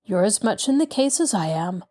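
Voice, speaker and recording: A woman voicing a courteous middle-aged male lawyer who speaks in a measured, reassuring way. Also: mid-range voice, lively intonation, dark tone, natural voice. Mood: calm.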